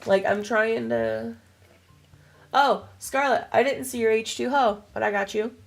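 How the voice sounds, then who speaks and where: A young woman streaming a game, chatting casually to her viewers with a voice still hoarse and froggy from a cold, rambling and swearing freely. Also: fairly high pitch, steady tone, unhurried, soft background music. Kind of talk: casual livestream chat while gaming.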